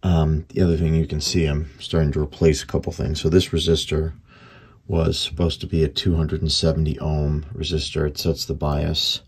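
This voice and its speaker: A man giving casual hands-on repair commentary as a hobbyist, thinking aloud unhurriedly in short, halting phrases. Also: a bass voice, level tone, husky. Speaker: hobbyist radio repairer, video host. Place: indoor workbench.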